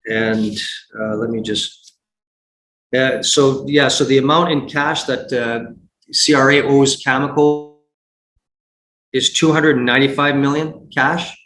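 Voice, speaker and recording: A man giving unscripted investment commentary as a guest, speaking haltingly with frequent repeats and false starts. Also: mid-range voice, fairly even intonation. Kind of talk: unscripted earnings call commentary. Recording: roomy echo, faint background noise.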